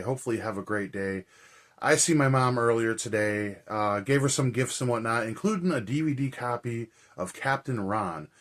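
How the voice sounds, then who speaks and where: A man with a hoarse, raspy voice, chatting casually and rambling with lots of fillers as a movie vlogger. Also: mid-range voice. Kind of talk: casual chat.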